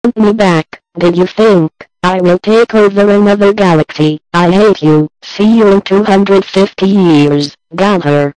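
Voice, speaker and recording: A man's voice: high-pitched, unhurried, hardly any pauses, darkish tone, dry recording, poor audio. Man as a scornful villainous virus monster, dry sound. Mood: hateful, defiant. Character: giant taunting villainous virus creature, hateful and scornful. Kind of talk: amateur scripted monster drama.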